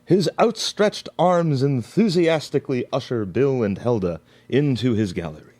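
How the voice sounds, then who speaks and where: A man's voice: medium pitch, animated, moderate pace, darkish tone. A man reading scripted scene narration for a live radio play.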